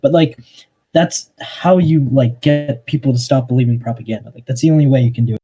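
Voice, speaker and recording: A young man arguing his point in an online voice-chat debate, halting and restarting, often cutting in with "hang on". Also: medium pitch, mellow, husky. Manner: hesitant, restarts a lot, interrupts with "hang on".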